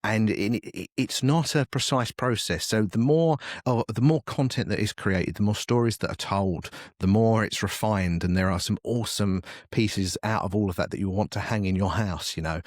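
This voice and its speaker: Rambling man with a lowish voice. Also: normal speed, few pauses, dry recording, clean studio sound. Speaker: podcast guest, podcast network manager. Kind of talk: casual interview conversation.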